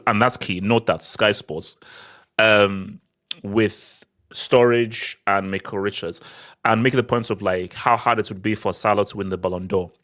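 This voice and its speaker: A man from Nigeria co-hosting a football podcast, talking in an animated, emphatic way and often repeating words as he builds a point. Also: lowish voice. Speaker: podcast co-host. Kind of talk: casual football discussion.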